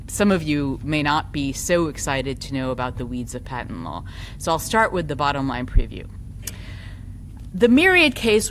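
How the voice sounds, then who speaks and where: A woman law professor giving an academic conference talk with a loud, articulate voice and lively, self-deprecating asides. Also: low voice, a little hiss or hum, rough recording.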